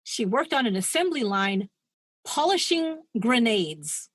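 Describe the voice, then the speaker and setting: A woman's voice: fairly high pitch, big pitch swings, unhurried, no echo. A woman co-hosting a podcast, retelling a true-crime story in a chatty, digressive way and laughing at her own asides. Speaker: podcast co-host.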